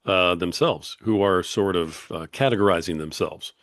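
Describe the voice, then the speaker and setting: A man's voice: deep voice, moderate pace, fairly bright tone, no room sound, studio quality. A man in his fifties hosting a podcast interview, friendly and affirming, using many fillers as he asks questions. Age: 50s. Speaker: podcast host. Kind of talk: casual interview.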